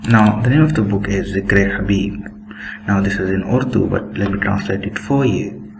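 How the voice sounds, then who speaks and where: A man giving a critical commentary on book excerpts while showing screenshots, pacing himself deliberately and opening most sentences with "now". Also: fairly low pitch, creaky, background noise. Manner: critical, disapproving tone. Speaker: religious commentator.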